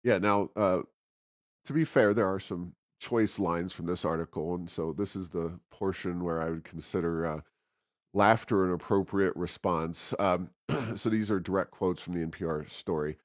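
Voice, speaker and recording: A man's voice: low voice, moderate pace, no room sound, clean studio sound. A man co-hosting a talk show, giving opinionated, conversational political commentary with a sardonic, mocking emphasis.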